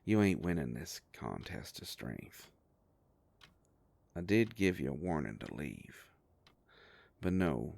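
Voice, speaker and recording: Very deep, gravelly werewolf detective, acted by a man. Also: dry recording, exaggerated voice. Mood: menacing. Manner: slow, drawling, threatening, folksy tough-guy talk. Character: gruff werewolf private investigator, protective, menacing, sardonic male boss. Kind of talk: dramatic roleplay audio monologue.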